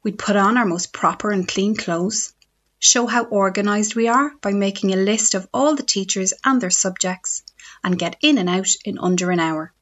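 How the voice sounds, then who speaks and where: A woman's voice: mid-range voice, moderate pace, a bit bright, highs cut off. An Irish woman reading her own humorous radio column in a wry, self-mocking storytelling manner. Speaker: radio essayist.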